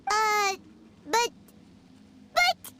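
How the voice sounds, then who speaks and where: A woman voicing a tiny, excitable floating companion girl in a video game, shrill and quick to panic. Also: heavy background noise, heavily acted. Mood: puzzled. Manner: shrill, easily alarmed, blurts out. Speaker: companion character.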